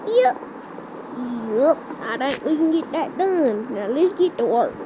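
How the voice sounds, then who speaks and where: A woman improvising an amateur skit in a halting way, repeating words as she searches for phrasing, on a lo-fi recording. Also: sits high, very animated. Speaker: amateur creator voicing a homemade emergency scenario. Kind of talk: improvised amateur skit and mock emergency announcement. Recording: dull lo-fi audio with loud background noise.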